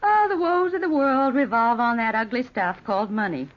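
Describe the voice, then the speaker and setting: An actress playing a young, down-to-earth sculptress in a radio drama, flippant and sardonic. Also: female voice, on the high side, normal speed, lightly acted. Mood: weary complaint. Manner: flippant, wisecracking. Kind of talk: old-time radio drama acting. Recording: dull, lo-fi old radio recording.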